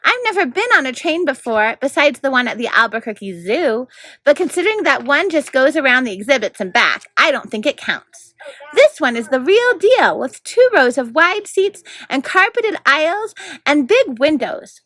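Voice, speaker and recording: Bright, high-voiced woman narrating. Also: big pitch swings, moderate pace, no echo. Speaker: host and story narrator. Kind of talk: scripted narration, children's book read aloud.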